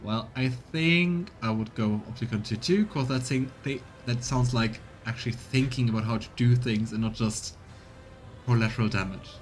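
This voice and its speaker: Musing male streamer, faint rumbling noise. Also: normal speed. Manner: musing, tentative. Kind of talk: casual let's play commentary.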